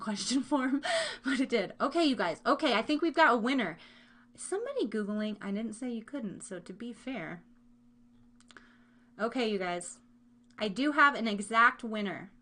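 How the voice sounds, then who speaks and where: A woman's voice: on the high side, fairly bright tone. An American woman from Kansas hosting a live stream from her room, chatting to listeners in an informal, rambling way with playful slang. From Kansas, United States. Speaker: livestream and podcast host. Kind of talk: casual live chat with listeners.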